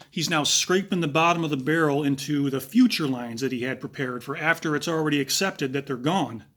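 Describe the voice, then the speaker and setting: A man with a raspy voice giving analytical true crime commentary, talking casually with pauses and fillers. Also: a little high, fairly bright tone.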